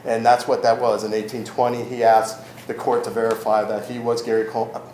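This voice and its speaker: A man giving an informal public talk as a records manager, loud-voiced, with many ums and self-corrections, speaking without a microphone in an event room. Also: medium pitch, little pitch movement, fairly quick. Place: event room in a historic house. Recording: roomy echo with faint ambient noise.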